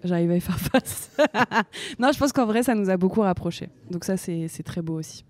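A young woman from France talks softly in a relaxed, unhurried way. Her voice sounds warm and mellow. She often pauses and restarts as she searches for words. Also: medium pitch. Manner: soft-spoken and hesitant, with many fillers and restarts. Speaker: singer-songwriter guest. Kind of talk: casual interview talk.

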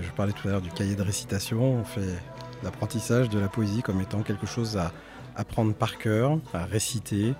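A man interviewing poets, asking long, measured, winding questions. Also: on the low side. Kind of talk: radio-style interview questions.

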